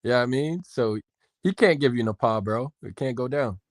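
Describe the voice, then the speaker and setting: A man's voice: mid-range voice, animated, fairly quick, no room sound, clean studio sound. A man in casual chat, laid-back, agreeing and egging the others on.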